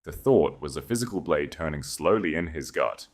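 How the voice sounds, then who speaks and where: A man narrating a dramatic historical romance audio story in a measured, scripted delivery. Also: very deep voice, expressive.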